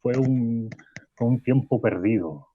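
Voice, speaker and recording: An actor playing a weary, earnest professor interviewed in a radio drama, speaking haltingly and repeating words. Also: male voice, mid-range voice, phone-quality sound, slight background noise, close to an everyday voice. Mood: earnest, regretful. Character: weary, regretful male professor, earnest and troubled. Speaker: interview guest.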